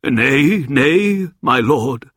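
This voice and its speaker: A man voicing a kindly, feeble old knight in a storybook reading, speaking gently and very slowly. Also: medium pitch, animated, slightly rough, dry recording, clean studio sound, full character voice. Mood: humble. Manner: gentle, slow, earnest.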